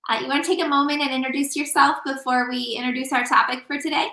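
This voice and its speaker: A woman's voice: high-pitched, level tone, fast talker, bright, forceful tone. A woman hosting a relationship podcast as an intimacy coach, talking casually and guiding the talk, a little scattered. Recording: echoey room.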